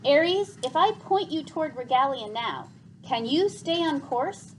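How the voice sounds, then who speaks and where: Boy adventurer played by a female storybook reader: high, lively, earnest, urgent, excitable. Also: unhurried, slight background noise, poor audio. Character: eager, earnest young boy hero on a quest. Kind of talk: storybook character voice.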